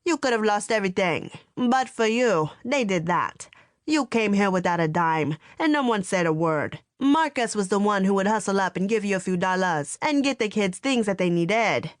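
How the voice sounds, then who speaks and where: A woman's voice: medium pitch, lively intonation, normal speed, no echo, studio quality, natural voice. A woman voicing a proud, stern elderly grandmother with a thick Jamaican accent, scolding her grown daughter firmly. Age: older. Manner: firm, scolding, lecturing.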